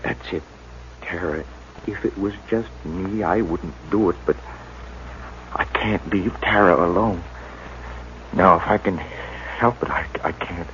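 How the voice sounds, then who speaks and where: An actor playing a feverish, wounded middle-aged homesteader in a 1950s radio drama, weary, halting and resigned. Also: male voice, low-pitched, a bit bright. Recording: dull 1950s radio broadcast, faint hiss.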